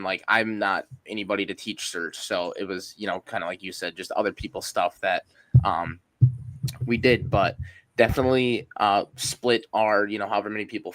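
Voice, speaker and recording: A man's voice: on the low side, no room sound, poor audio. A young man, a firefighter hosting a podcast, talking casually and hesitantly with plenty of ums and you-knows. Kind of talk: casual interview talk.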